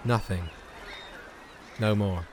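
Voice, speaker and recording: Low-voiced, weary homeless young man. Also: slow pace, background noise. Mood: fading, resigned. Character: homeless young man, heartbroken, resigned drug user. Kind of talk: intimate audio-drama monologue. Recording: music behind the voice.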